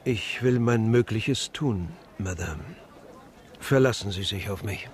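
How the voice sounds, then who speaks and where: An actor playing a sober, resigned hospital doctor of old Paris, formal and courteous in a German radio crime drama. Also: male voice, lowish voice, slow pace, slight background noise. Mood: professional, courteous.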